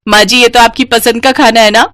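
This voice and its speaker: A woman's voice: on the high side, fairly even intonation, fairly quick, a bit bright, natural voice. A woman voicing an earnest young Indian wife who is easily exasperated, in a story. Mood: hopeful, pleased.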